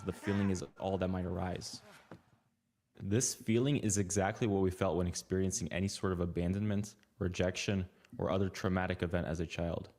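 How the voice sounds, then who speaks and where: A man reading a scripted documentary narration in a calm, measured way. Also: sits low, slight background noise.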